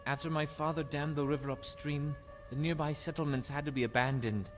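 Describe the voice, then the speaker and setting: A man acting a loyal, calmly confident young Egyptian prince in an audio drama. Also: fairly high pitch, brisk, music in the background, natural voice. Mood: explaining.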